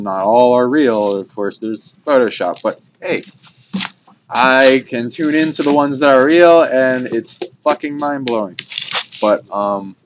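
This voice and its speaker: A man co-hosting a casual spiritual chat, speaking in a meandering, informal and enthusiastic way. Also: mid-range voice. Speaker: talk show co-host. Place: informal recording by a fire. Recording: muffled lo-fi, clear background noise.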